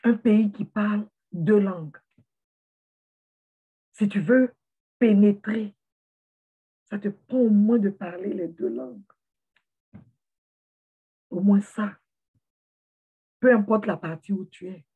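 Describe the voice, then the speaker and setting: A woman speaking straight to her viewers in an earnest, encouraging vlog. Her voice is husky and a little breathy. It sounds tinny and narrow, like a phone recording. She often stops and repeats a word before she finds the next one. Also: mid-range voice, takes it slowly, pauses often. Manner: earnest, repeats words while searching for them. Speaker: motivational vlogger for immigrants. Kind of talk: heartfelt motivational talk to camera.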